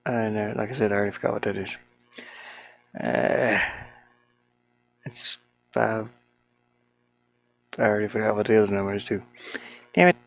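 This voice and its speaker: A solo game developer thinking aloud in a casual, unscripted way, with a creaky voice and colloquial, mildly grumbling speech. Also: mid-range voice, steady tone, normal speed, pauses often, dry recording, rough recording. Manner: muttering to self, grumbling mildly, colloquial 'ain't' and double negatives. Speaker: solo game developer narrating their work. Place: small warm room with a fan, indoors.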